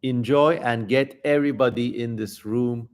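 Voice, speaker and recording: A man's voice: medium pitch, steady tone, moderate pace. A man hosting a livestream in casual chat, effusive and repeating words for emphasis as he talks to viewers. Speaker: livestream host.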